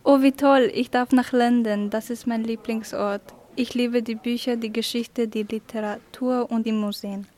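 A woman's voice: a little high, fairly even intonation, normal speed, few pauses, darkish tone, close to an everyday voice. A young female pupil playing a bookish, thoughtful young woman in an amateur school radio play. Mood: delighted.

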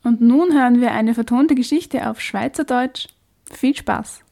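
A woman's voice: on the high side, measured pace, creaky, dark tone. A woman presenting a radio show in a scripted style, announcing segments as host.

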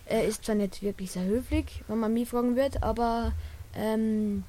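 A woman's voice: on the high side, fairly even intonation, moderate pace, mellow. A female speaker, explaining informally and hesitantly in an interview.

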